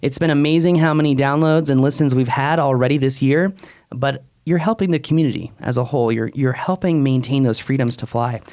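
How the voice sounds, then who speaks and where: A man in his thirties with a mid-pitched voice co-hosts a podcast. He talks informally and bluntly, like an expert chatting across a table, close to a studio mic. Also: a little fast, a little mellow. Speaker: podcast co-host and drone expert. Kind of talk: casual podcast talk. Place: small wood-panelled podcast room. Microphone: close studio mic on boom arm.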